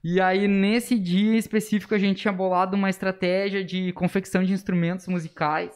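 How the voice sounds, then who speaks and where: A man's voice: high-pitched, flat delivery, fairly quick, smooth. Young southern Brazilian man, casual storyteller. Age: young adult. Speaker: podcast guest, musician and music teacher. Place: small dark podcast studio. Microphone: close studio microphone on arm, speaking through face mask.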